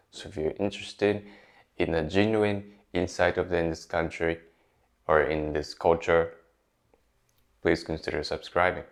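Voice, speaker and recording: A young man with a Japanese accent, talking casually to his channel's viewers with plenty of fillers and restarts. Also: deep voice. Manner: conversational, many fillers and restarts. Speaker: YouTuber. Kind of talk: casual talk to camera.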